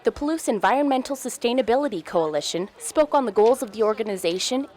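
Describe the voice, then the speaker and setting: A woman's voice: a little high, animated, fast, darkish tone. A young woman delivering scripted TV news in a presentational broadcast manner, as a reporter and anchor.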